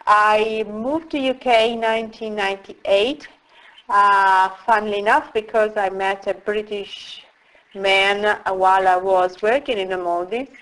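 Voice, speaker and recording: A middle-aged woman speaks as an interview guest, her pitch sitting in a middle range. She talks at a very slow, unhurried pace. She pauses often as she reflects on her story. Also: steady tone, a bit bright, low-quality recording. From Italy. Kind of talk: casual interview.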